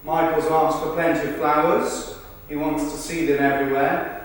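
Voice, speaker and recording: Man acting earnest witness, stage monologue, fairly high voice, heard from afar in a reverberant hall. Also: little pitch movement, moderate pace, natural voice. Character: people recounting a football club's celebrity visit. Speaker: performer. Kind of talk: verbatim theatre monologues read in rehearsal. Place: large reverberant rehearsal room. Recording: echoey hall, distant mic, faint noise.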